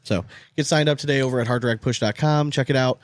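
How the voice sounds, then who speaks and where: A man's voice: mid-range voice, fast. A man hosting a podcast with casual, bro-ish banter and some swearing. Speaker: podcast host.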